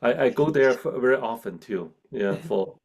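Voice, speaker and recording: Gravelly Chinese-accented man, halting. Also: mid-range voice. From China, grew up in Hong Kong. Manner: halting, many restarts and fillers. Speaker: guest, professor. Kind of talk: casual interview conversation. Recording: video call.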